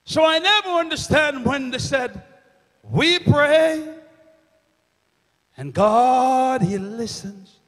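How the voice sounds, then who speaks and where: A middle-aged man preaching a sermon on a church stage with a handheld microphone, swinging from loud shouts to hushed near-whispers. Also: very high-pitched. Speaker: minister. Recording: reverberant church hall.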